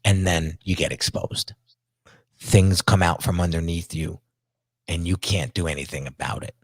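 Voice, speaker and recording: A middle-aged man with a strong New Jersey accent talks in a deep, low register, hosting a podcast conversation. He tells stories with lively energy, doubling words and restarting sentences. The sound is close and dry, with no room around it. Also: moderate pace, breathy edge, no room sound. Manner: animated storyteller, repeats words, many restarts. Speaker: podcast host. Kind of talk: casual interview chat.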